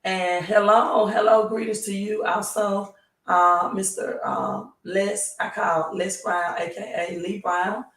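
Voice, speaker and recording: Hoarse woman, live stream host, slow halting talk, roomy sound, set back from the mic. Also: medium pitch, steady tone, fairly bright tone, far from the mic. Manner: slow, halting, repeats "right?".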